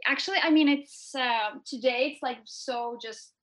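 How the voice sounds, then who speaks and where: A woman's voice: high-pitched, normal speed, a bit bright. A young woman from Norway, a guest speaking casually over a video call, hesitant with many fillers and restarts. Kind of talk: casual interview chat.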